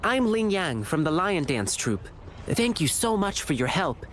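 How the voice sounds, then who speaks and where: An actor playing an earnest, upbeat and kind-hearted young lion dancer in a video game. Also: male voice, high-pitched, very animated, measured pace, a bit bright, a little hiss or hum, full character voice. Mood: reassuring, friendly. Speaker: lion dance troupe performer. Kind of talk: video game character dialogue.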